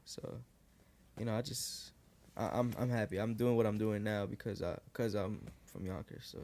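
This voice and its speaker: A young man in his twenties from New York, a guest rapper chatting casually in a podcast interview, relaxed and loose with lots of fillers. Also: on the low side, moderate pace. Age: 20s. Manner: relaxed, lots of likes and restarts. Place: living room podcast set, indoors. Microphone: boom studio mic.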